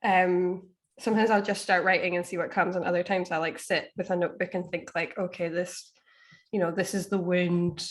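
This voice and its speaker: A woman speaking casually as an interview guest, tentative and halting as she thinks aloud. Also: lowish voice, little pitch movement. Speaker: podcast guest, author.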